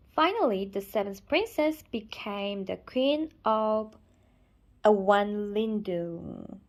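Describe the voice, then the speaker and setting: Slow, high-voiced Korean-accented woman. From South Korea. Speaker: vlogger reading stories aloud. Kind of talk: storybook reading with casual chat.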